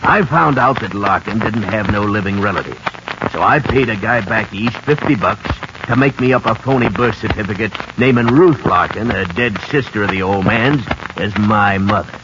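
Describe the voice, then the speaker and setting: Man playing a smug, low-voiced Western crook, crackly radio. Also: moderate pace, close to an everyday voice. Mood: gloating. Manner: folksy slangy tough talk with gloating chuckles. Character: smug crooked Western land-grabber, gloating murderer. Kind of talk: 1950s radio western acting. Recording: muffled, noisy, crackly 1950s radio recording.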